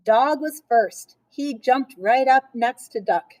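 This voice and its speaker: A woman reading a picture book aloud for children in a playful, expressive way, with chatty asides. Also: high-pitched, slow, no echo.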